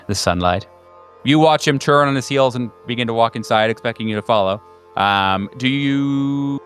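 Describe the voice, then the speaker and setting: A man working as a game master, improvising descriptive narration in a halting, conversational way. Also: on the low side, fairly bright tone.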